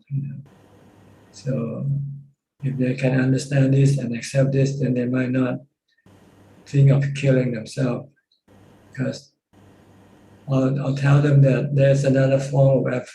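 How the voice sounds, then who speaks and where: Male monk teacher, dark relaxed tone, patient stepwise question-and-answer explanations with halting repeats, roomy echo. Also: medium pitch, gravelly. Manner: explains step by step with repetitions and hesitant pauses. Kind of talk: informal live dhamma question-and-answer teaching. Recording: roomy echo, faint background noise.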